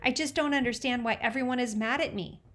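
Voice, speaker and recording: Woman voicing a sulky teenage girl, jealous, pouty, slightly high voice. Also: quick pace, a bit bright, a little hiss or hum, close to an everyday voice. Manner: pouty, snorting.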